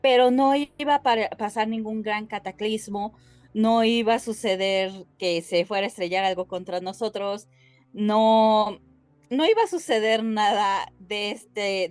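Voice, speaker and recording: A woman with a Mexican Spanish accent co-hosting a podcast, explaining things casually and haltingly as she addresses her listeners. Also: medium pitch, darkish tone, dry recording. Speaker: podcast co-host.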